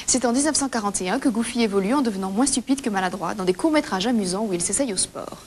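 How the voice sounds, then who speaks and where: Very quick-talking woman presenter. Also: medium pitch, a little mellow. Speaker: TV co-host. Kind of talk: scripted light magazine presentation in tandem. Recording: hissy background noise.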